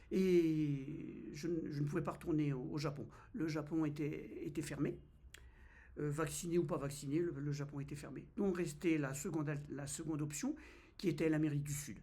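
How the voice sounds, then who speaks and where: A man's voice: fairly high pitch, dark tone, husky. A man in his fifties from France, vlogging in a casual, hesitant way with many fillers and restarts. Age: 50s. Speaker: travel vlogger. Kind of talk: casual first-person vlog.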